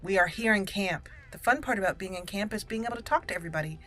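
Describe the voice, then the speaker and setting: A woman's voice: mid-range voice, fast, a bit bright. A woman giving casual, playful let's play commentary on a game, thinking aloud as she goes. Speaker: gaming channel host and player. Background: game music.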